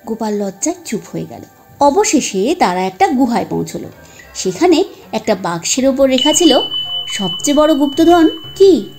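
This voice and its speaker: A woman storyteller reads a moral tale with a lively, swinging intonation and a fairly high voice. Her delivery is expressive and clearly scripted, and faint music plays underneath. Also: normal speed.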